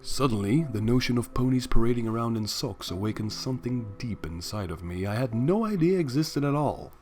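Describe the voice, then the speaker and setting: Animated male story narrator. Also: mid-range voice, a little fast, faint music behind. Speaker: fan fiction reader. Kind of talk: expressive first-person story narration.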